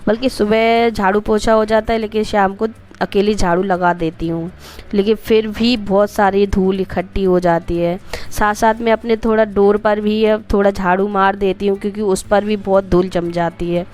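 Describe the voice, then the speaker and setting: A woman vlogger with a Hindi accent from Madhya Pradesh, hoarse from a cold, narrating her daily routine in a chatty, homely way with rambling asides to viewers. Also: medium pitch, steady tone, normal speed, darkish tone.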